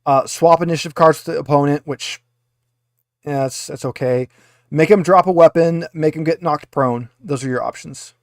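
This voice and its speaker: A man with a slightly high-pitched voice runs a tabletop roleplaying game as game master. He talks casually through rules and options, and the recording is dry and close. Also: normal speed, no room sound.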